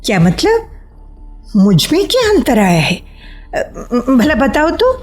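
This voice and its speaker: A high, slow-spoken radio drama heroine, reserved and full of secret yearning. She hesitates, repeats herself and lets emotion show, with music underneath. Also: wildly swooping pitch, exaggerated voice. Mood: flustered. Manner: hesitant, emotional, repeats phrases, drops into breathy whispers. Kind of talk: Hindi radio play acting.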